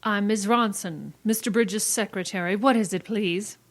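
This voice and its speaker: An actress playing a brisk, polite, formal secretary in an old-time radio drama. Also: female voice, medium pitch, close to an everyday voice. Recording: live stage with faint noise.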